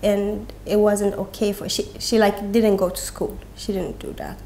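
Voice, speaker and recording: Young woman, open and unrehearsed, hesitant phrasing, some vocal fry. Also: mid-range voice, flat delivery, dark tone, a little hiss or hum. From Sweden. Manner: halting, with frequent pauses and "like". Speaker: guest. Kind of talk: candid personal conversation.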